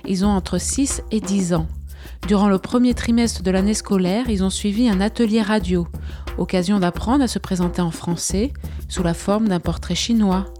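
A woman presenting a radio feature, reading a scripted narration in a measured, clear way. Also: mid-range voice, warm tone, soft background music.

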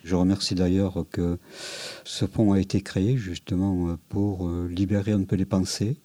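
A man answering an interview question, speaking haltingly and repeating his words. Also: low voice, level tone, mellow, no room sound. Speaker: committee member.

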